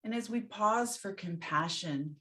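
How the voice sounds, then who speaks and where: A woman leading a guided meditation as a teacher, speaking gently and soothingly in short phrases with pauses between them. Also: medium pitch.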